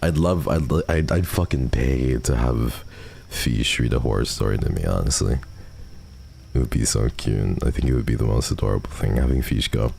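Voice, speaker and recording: A man's voice: very deep voice, moderate pace, vocal fry, a little mellow, husky. A man hosting a livestream, chatting casually and answering viewer messages at a close microphone. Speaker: livestream host. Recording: faint background noise.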